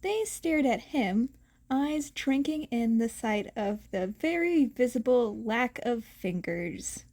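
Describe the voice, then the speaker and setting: A woman reading fan fiction aloud as an expressive, audiobook-style narrator. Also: on the high side, slow. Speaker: podfic reader.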